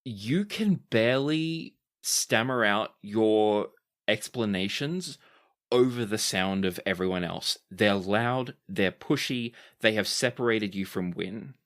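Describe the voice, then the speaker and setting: A man narrating a tabletop role-playing game as game master, describing scenes and calling for dice rolls. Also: slow. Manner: lively, shifting pitch as he narrates.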